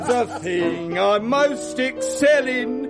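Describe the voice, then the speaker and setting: Boisterous high-voiced young gentleman. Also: animated, slow, loud music behind the voice, a loud crowd, heavily acted. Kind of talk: period comic radio drama.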